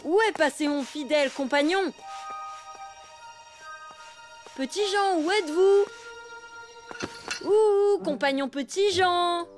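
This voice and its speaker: High-pitched, boastful cartoon elephant boy. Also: unhurried. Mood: grandly theatrical. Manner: theatrical, grandiose. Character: bossy, boastful young elephant boy, playing the hero. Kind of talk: animated children's cartoon acting. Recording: music behind the voice.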